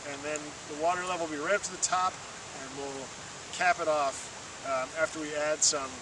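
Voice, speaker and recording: Higher-voiced man teaching outdoors, loud water noise. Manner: patient, step-by-step, encouraging. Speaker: water-quality field trainer. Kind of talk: hands-on instructional demonstration. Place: outdoors beside flowing water. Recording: distant mic, loud outdoor stream noise.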